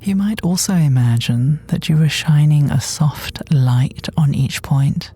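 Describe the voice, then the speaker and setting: A woman with a soft, gentle, breathy voice that often nears a whisper, guiding a body scan meditation in a soothing way with long pauses. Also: low voice, expressive. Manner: soothing, unhurried, long pauses between phrases. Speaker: guided meditation teacher. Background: soft meditation music.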